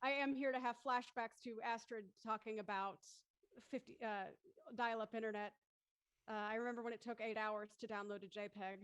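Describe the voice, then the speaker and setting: A woman with a high voice hosts a live show. Her style is upbeat and conversational, and the recording is dry and close. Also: steady tone, fairly quick, fairly bright tone, clean tone, dry recording. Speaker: host of a live audio drama show. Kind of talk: upbeat casual hosting.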